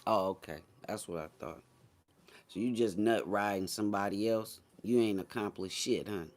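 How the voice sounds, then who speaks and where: A man's voice: lowish voice, normal speed. A man hosting a casual live stream, chatting with viewers in a slangy, boastful, teasing way.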